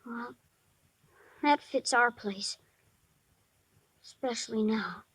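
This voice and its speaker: An actor playing a shy but tough-talking ten-year-old boy in 1950s radio drama, with halting, slangy speech. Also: medium pitch, a bit bright, husky, no echo, exaggerated voice. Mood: sheepish, guilty. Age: child figure, almost eleven. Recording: dry vintage 1950s radio recording.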